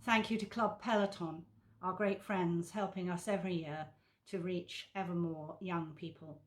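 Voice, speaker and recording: A woman with a slightly low voice presents an online awards event. She delivers a scripted speech of thanks in the role of presenter. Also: fairly even intonation, normal speed.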